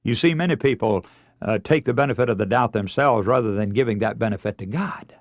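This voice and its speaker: A man's voice: lowish voice, a little fast, dry recording, clean studio sound. A man preaching a radio sermon in an earnest, emphatic manner, leaning on stories and rhetorical questions.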